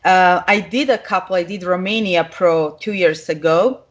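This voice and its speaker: A woman's voice: on the low side, moderate pace. A woman from Serbia with a Serbian accent, a podcast guest speaking English as a second language with some non-native grammar. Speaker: guest, pro figure competitor. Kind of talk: casual interview talk.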